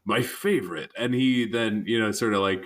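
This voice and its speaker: A man playing a tabletop roleplaying game, improvising his actions casually and haltingly as he thinks aloud. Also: lowish voice, steady tone.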